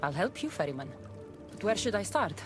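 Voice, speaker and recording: An actress playing a tough, skeptical mercenary heroine in a game, wry and guarded. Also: female voice, medium pitch, sing-song, brisk, natural voice. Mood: wry, determined. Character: tough, skeptical female Greek mercenary hero. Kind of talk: video game cutscene acting. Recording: game music behind voice.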